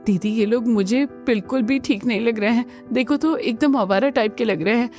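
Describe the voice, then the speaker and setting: A woman voices an innocent young bride in a family cartoon. Her voice is high, meek and plaintive. Music plays underneath. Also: moderate pace, darkish tone, natural voice. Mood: worried. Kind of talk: Hindi family cartoon voice acting.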